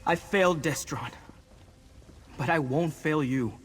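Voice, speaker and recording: A man's voice: on the high side, measured pace, a little hiss or hum, close to an everyday voice. A man playing an earnest, loyal rebel ally in a fantasy game, speaking with urgency. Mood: remorseful. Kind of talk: scripted fantasy game acting.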